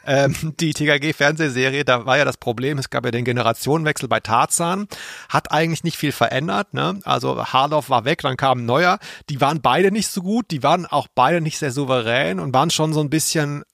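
A man's voice: a little high, brisk, gravelly, fairly bright tone, no echo, clean studio sound. A male podcast co-host giving casual, humorous commentary, explaining things with ironic understatement.

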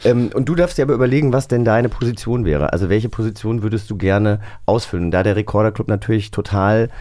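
Man, slightly low voice, podcast host, casual interviewing, dry studio-like sound. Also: fast talker, warm tone, no room sound.

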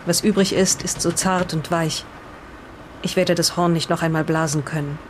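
A woman's voice: lowish voice, steady tone, moderate pace, a little hiss or hum, natural voice. Woman acting uneasy 1920s occult diary reader. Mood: solemn, ominous. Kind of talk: in-character audio drama retelling.

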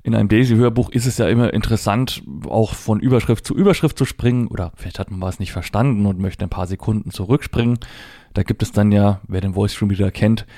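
A man's voice: fairly low pitch, warm tone, no room sound, clean studio sound. A man explaining a device step by step in a matter-of-fact, conversational tutorial style. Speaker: presenter demonstrating assistive technology. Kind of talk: hands-on spoken tutorial, unscripted step-by-step explanation.

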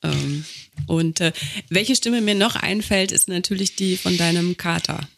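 Casting: male podcast interviewer, high register with creak, conversational. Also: measured pace, background noise. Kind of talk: casual interview chat.

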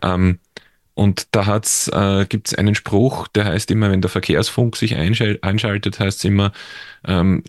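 Low-voiced Austrian man, dry sound. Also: steady tone, measured pace, dry recording. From Austria, near Vienna. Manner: hesitant, many restarts and fillers. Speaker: podcast guest. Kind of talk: casual conversation.

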